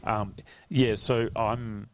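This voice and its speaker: Deep-voiced man, earnest rambling storyteller. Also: steady tone, extremely slow. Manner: earnest, rambling storyteller with many fillers and restarts. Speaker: singer-songwriter guest. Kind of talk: casual interview chat.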